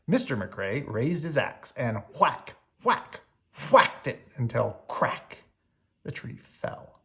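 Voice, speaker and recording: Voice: medium pitch, big pitch swings, takes it slowly, a bit bright. A storyteller reading a children's picture book aloud expressively, drawing out key words.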